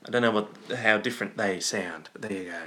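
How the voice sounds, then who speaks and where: Bright-voiced, rambling Australian man. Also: fairly low pitch, quick pace. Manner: rambling, jokey, self-interrupting. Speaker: livestream host. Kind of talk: casual livestream chat.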